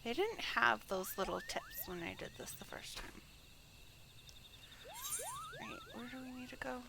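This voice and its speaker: A woman's voice: fairly high pitch, huge pitch swings, unhurried, gravelly, heavy background noise. A woman live streaming a video game, chatting casually and reading on-screen text aloud.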